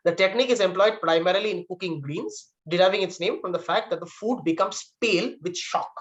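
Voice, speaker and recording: A man's voice: high-pitched, fairly quick, fairly bright tone, lo-fi sound. A man acting as quiz master, reading out trivia questions and giving verdicts on answers.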